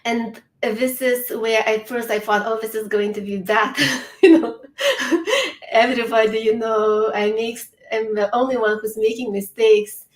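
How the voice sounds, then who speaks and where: A woman's voice: fairly high pitch, measured pace, echoey room. A woman from Lithuania with a Lithuanian accent, a native Lithuanian speaker sharing her story as a speaker in an online panel chat, earnest and sometimes searching for words. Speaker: summit speaker. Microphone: video call.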